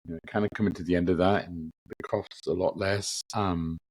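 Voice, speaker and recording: A man hosting a spiritual podcast, gently reflective, his voice a bit croaky from a lingering cough. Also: low-pitched, steady tone, a little fast, dry recording, low-quality recording. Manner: gentle, reflective, with frequent ums and repeats. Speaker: podcast host. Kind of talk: casual spiritual podcast talk.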